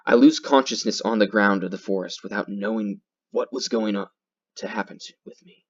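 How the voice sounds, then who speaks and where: A man reading horror game text aloud in a theatrical, halting way, repeating words and adding his own comments. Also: lowish voice. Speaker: gaming video host. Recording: dull lo-fi sound with loud music. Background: loud guitar music.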